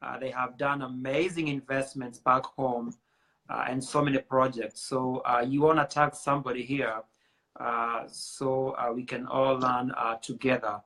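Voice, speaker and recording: Man hosting, bright voice. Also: mid-range voice, flat delivery, unhurried, poor audio. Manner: many filler uhs, greets viewers by name. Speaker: show host. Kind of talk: casual live-stream chat.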